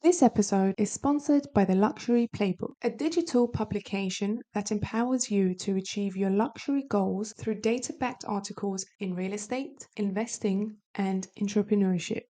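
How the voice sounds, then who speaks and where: A woman reading a sponsor ad in a smooth, promotional read. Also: mid-range voice, normal speed, hardly any pauses, dark tone. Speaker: ad announcer.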